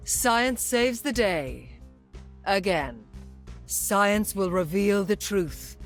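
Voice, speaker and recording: A woman's voice: mid-range voice, expressive, extremely slow. Haughty, well-spoken female scientist over music. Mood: lofty conviction. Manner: well-spoken, lyrical, confident, dry. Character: haughty, brilliant, ruthlessly driven female scientist. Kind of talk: video game hero voice lines.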